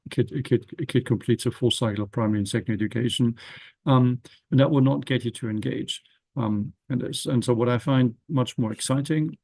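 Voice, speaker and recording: Thoughtful man, halting panel speaker. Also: mid-range voice, a little mellow, dry recording. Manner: thoughtful, halting, many restarts and "um"s. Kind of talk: reflective panel talk.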